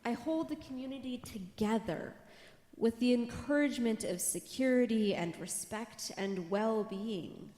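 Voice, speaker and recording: A woman playing Peace, a steady, self-assured motherly figure, in a dramatized church reading. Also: fairly high pitch, unhurried, natural voice. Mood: assured, earnest. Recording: echoey hall, long reverb.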